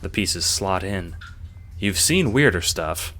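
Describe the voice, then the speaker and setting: A man's voice: deep voice, expressive, takes it slowly, a bit bright. A man reading scripted second-person narration for an audio drama.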